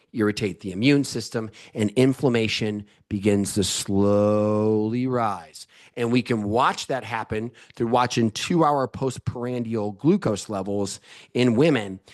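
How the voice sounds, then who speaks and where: A man with a mid-pitched voice talks as an expert podcast guest. He explains step by step in an emphatic, instructive manner, pressing his points so listeners keep up. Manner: didactic, emphatic, checks listeners follow. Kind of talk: explanatory interview talk.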